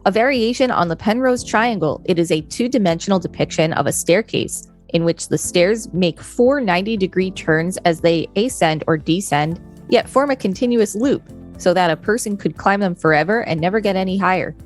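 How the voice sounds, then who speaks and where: A woman's voice: on the low side, lively intonation, moderate pace, faint music behind. A woman co-hosting a podcast, reading encyclopedia text aloud with brief conversational asides. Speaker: podcast co-host.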